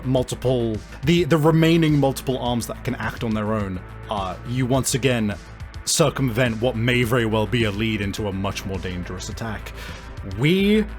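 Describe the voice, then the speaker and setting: A man with a mid-pitched voice runs a tabletop role-playing game. He explains the rules deliberately, with some hesitation. Soft music plays underneath. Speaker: game master.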